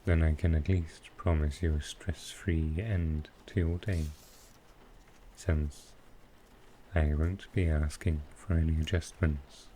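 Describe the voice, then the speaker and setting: A man playing a smooth, flirtatious supervillain in an intimate ASMR roleplay, teasing and unhurried. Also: very deep voice, steady tone, slight background noise, rough recording. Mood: casual, suggestive. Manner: teasing, unhurried, softly suggestive. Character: smooth, flirtatious male supervillain courting his tailor.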